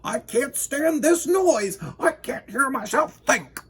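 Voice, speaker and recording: A fussy storybook clockmaker, acted out by a man, pitched well above a normal talking voice. He is easily flustered and exclaims in a plaintive, dramatic, swooping way. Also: animated, slow, fairly bright tone, full character voice. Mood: exasperated.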